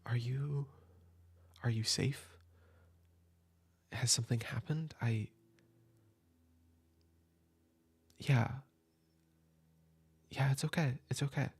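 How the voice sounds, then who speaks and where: A man playing a caring older brother who shelters a younger sibling, soft-spoken and reassuring, sometimes dropping to a whisper, in a comfort audio roleplay. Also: mid-range voice, slow, pauses often, breathy edge, heavily acted. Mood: soothing. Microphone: home desk mic.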